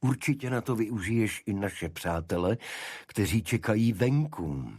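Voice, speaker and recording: A man voicing a shrewd, serious Victorian detective in an audiobook, speaking deliberately and pointedly. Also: fairly low pitch, animated, a little mellow, slightly rough, no echo, studio quality, pitched above the performer's own voice. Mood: serious, dry.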